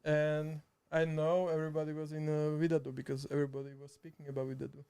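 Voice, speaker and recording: A Slovak man chatting in halting, hesitant English, full of restarts and laughter, sitting a bit far from the mic. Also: on the high side, monotone, warm tone, clear, resonant tone. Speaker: streamer and video editor guest. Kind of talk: casual chat. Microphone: too far from the mic.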